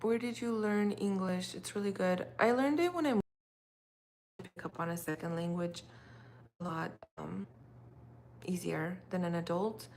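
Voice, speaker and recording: A woman's voice: fairly low pitch, a little slow, long pauses, darkish tone. Chatty woman live-streaming to viewers. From Russia. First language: Russian. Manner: chatty, rambling, many fillers. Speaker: livestream host. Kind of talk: casual livestream chat with viewers. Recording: faint background noise.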